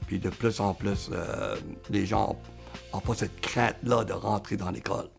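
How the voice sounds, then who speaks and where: Man, deep gravelly voice, hesitant, faint music under. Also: steady tone, normal speed. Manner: hesitant, many fillers and restarts. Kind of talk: documentary interview.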